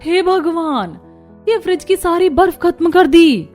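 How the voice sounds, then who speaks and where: A woman's voice: very high voice, expressive, unhurried, darkish tone, soft background music. A woman voicing an overworked young daughter-in-law in a cartoon story, exasperated and complaining. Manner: complaining, put-upon. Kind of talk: Hindi cartoon story voice acting.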